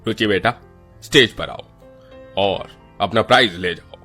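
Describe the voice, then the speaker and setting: A man's voice: fairly low pitch, a little slow, bright, forceful tone, soft background music, heavily acted. A man voicing a stern but fair school principal, authoritative and emphatic, in a cartoon moral story.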